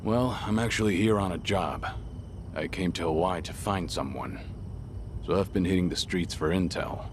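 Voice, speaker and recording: A man playing a stoic, weary, gruff ex-yakuza legend in a video game cutscene, terse and deadpan with dry humour. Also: low-pitched, normal speed, noisy background, natural voice. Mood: grave. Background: loud music.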